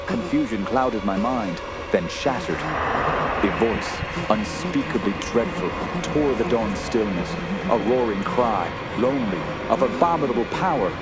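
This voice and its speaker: An actor playing a fearful, haunted camper, narrating a horror tale in a solemn, measured and dramatic way. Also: male voice, mid-range voice, animated, very noisy, lightly acted. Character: haunted, fearful male wilderness camper telling his tale. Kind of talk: scripted first-person horror narration.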